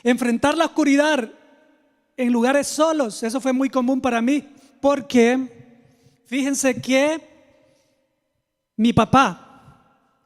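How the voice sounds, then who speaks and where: A man with a Salvadoran Spanish accent, a pastor giving a conversational sermon built on personal stories, speaking informally and confidingly straight to his listeners. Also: extremely high pitch. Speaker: pastor, preacher. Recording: long hall reverb.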